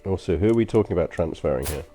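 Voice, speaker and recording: A man's voice: sits low, animated, racing, music in the background. A man with a London accent chatting casually while streaming a strategy game, rambling with frequent ums and uhs. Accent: London English. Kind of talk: casual gameplay commentary to stream chat.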